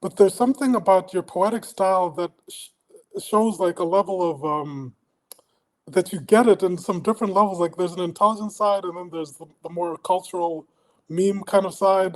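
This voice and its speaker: A man who grew up in Denmark, a poet guest on a podcast, speaking thoughtfully in casual chat and often pausing to find his words. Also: sits high, poor audio. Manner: thoughtful, searching for words with frequent pauses.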